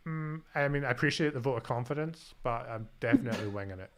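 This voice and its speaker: A man's voice: mid-range voice, fast talker, heavy background noise. A man with a light Scottish accent hosting a live-coding stream, talking through his steps and joking about himself as he types. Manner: self-deprecating, thinks aloud while typing. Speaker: host. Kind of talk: casual live-coding chat.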